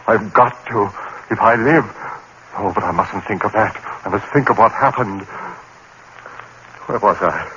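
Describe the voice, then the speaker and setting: A man narrating a radio horror drama in the first person, frantic and haunted, with sudden jumps into high pitch. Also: fairly bright tone. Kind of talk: 1930s radio horror acting. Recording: dull old radio broadcast with faint hiss.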